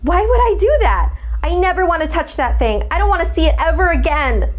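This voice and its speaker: A woman's voice: very high voice, sing-song, fairly quick, voice pushed up. A woman voicing a frightened, tearful young girl in a narrated horror story, protesting in distress, with music behind her. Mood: upset, disgusted.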